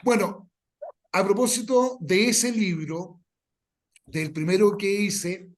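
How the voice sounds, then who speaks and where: A man hosting an informal workshop, speaking hesitantly in short phrases with pauses. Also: high voice, a little hiss or hum. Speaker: workshop host and writer.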